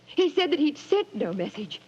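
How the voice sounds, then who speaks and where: An actress playing an anxious, earnest, frightened wife in a 1940s radio drama, speaking in a hesitant, dramatic way. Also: female voice, high-pitched, sing-song, normal speed, warm tone. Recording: muffled vintage radio recording.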